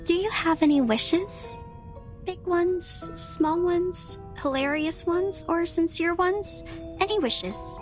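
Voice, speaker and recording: Very high, eager young girl. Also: takes it slowly, faint music behind. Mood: eager, curious. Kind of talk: English video game character acting.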